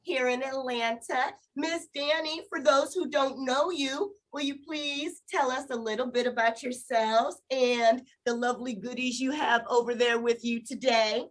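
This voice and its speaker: A chatty, animated woman with a slightly scratchy voice, hosting a casual, upbeat live shopping show. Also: high voice, measured pace, hardly any pauses. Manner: chatty, animated, laughs while talking.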